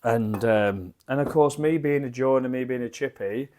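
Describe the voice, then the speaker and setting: A man with a croaky voice from a lingering cough, rambling in a chatty, self-deprecating way as he reviews beer at his home bar into a desk microphone. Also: mid-range voice, normal speed, background noise.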